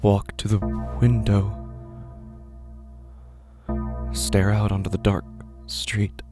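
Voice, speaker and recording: An actor playing an anxious, cynical, philosophizing man in a horror audio drama, with a brooding, halting delivery full of questions and pauses. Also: lowish voice, monotone, music in the background, natural voice. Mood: hushed dread. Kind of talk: first-person dramatic monologue, horror audio fiction.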